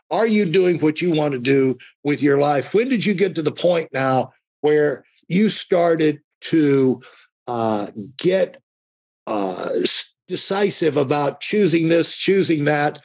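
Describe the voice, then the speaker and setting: Man, podcast host, slightly high pitch, rough husky tone, rambling conversational delivery. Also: expressive, darkish tone. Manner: halting, rambling, keeps rephrasing.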